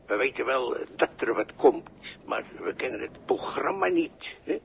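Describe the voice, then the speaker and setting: An actor playing a folksy, evasive, callously matter-of-fact bystander in a halting, colloquial 1960s radio drama style. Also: male voice, medium pitch, lively intonation, creaky. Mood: jovial, evasive. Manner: halting, colloquial, with tags and repeats. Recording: noisy early 1960s radio recording.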